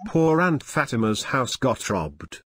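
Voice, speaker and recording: A man's voice: medium pitch, sing-song, slow pace, very noisy. A man narrating a children's story from a script. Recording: music under the voice. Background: background music.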